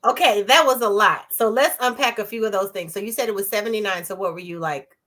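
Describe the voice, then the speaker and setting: A woman's voice: medium pitch, fast, sharp tone. A woman hosting an interview in a casual, affirming way, often repeating her guest's words back. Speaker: interview host.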